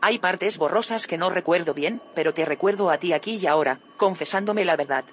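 A woman's voice: on the low side, fairly quick, slight background noise, natural voice. An actress playing an earnest, probing woman in an audio drama, serious and inquisitive. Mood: confronting, intent. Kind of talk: audio fiction drama acting.

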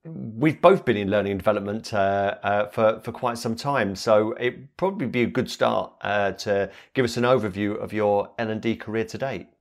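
A man's voice: lowish voice, moderate pace, few pauses. A middle-aged man hosting a podcast interview, asking questions hesitantly with many fillers. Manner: hesitant, frequent ums and backchannel. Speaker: podcast host.

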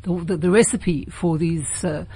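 A woman with a South African accent hosting a casual late-night radio interview, often halting and repeating words as she thinks. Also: lowish voice, warm tone, husky. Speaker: radio host.

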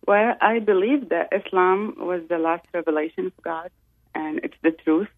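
A woman from Saudi Arabia, a guest on a radio show, telling her personal story in an earnest, soft-spoken way. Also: fairly low pitch, fairly even intonation, moderate pace, no room sound. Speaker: call-in guest. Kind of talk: personal testimony. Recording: tinny phone line.

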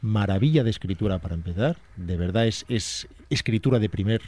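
A man, giving detailed, measured film commentary on a radio chat show. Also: on the low side, animated, a little mellow.

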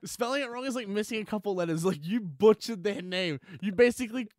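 A man's voice: sits high, fast talker, darkish tone, no echo, clean studio sound. A man with an Australian accent co-hosting a podcast, chatting casually and riffing jokily with exaggerated little impressions. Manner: jokey, exaggerated mimicry and riffing. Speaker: podcast co-host. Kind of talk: casual comedic chat.

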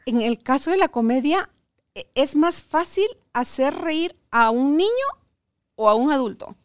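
A woman's voice: high voice, expressive, measured pace, dry recording, clean studio sound. A woman hosting a conversational podcast interview, speaking as the interviewer.

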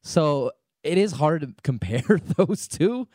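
American male sports radio sidekick, casual banter, somewhat raised pitch, close dry studio sound. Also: lively intonation, slow, a little mellow, dry recording. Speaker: radio show producer and sidekick.

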